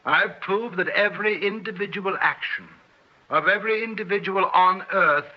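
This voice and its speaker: A man's voice: high voice, normal speed. A man playing an arrogant, coldly superior scientist in an old-time radio drama, condescending and boastful. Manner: condescending, pompous. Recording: muffled old radio recording.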